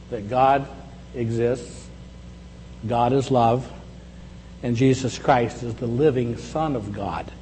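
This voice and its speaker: A man's voice: medium pitch, a little mellow. Reflective man in his sixties preaching. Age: 60s. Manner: reflective, conversational, with slow emphatic pauses. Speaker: pastor. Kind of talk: sermon. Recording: echoey hall with faint hum.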